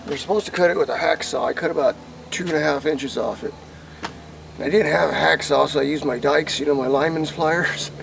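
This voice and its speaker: Gravelly American man, casually thinking aloud. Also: on the high side, a little fast, noisy background. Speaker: hobbyist vlogger demonstrating CB radio gear. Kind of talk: casual rambling demonstration to camera.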